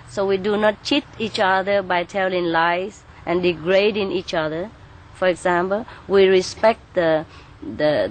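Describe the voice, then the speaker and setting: A woman from Vietnam, answering interview questions as a spiritual teacher in an earnest, unhurried way, with soft laughs and filler pauses. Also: on the low side.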